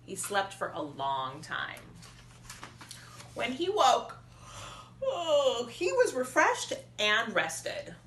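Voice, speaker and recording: A woman reads a storybook to young children as their teacher. Her voice is bright and a little high, with expressive, deliberate emphasis. The room is echoey, with faint noise behind her. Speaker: preschool teacher.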